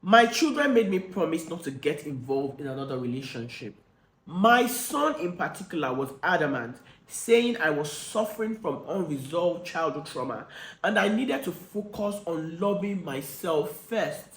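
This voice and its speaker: A man with a croaky cold voice reading the letter of a hurt, guarded woman in her late forties. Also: low-pitched, expressive, moderate pace, roomy sound, low-quality recording. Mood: confessional. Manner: earnest, confessional reading.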